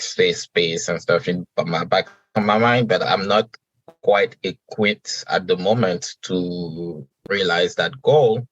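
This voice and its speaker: A man from Senegal with a very low voice talks in an easy, conversational way. He pauses and rephrases as he thinks. The recording is dry and a bit muffled. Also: fairly bright tone, dry recording. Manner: unhurried, with pauses and restarts. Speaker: podcast host and guest. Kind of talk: conversational interview answers.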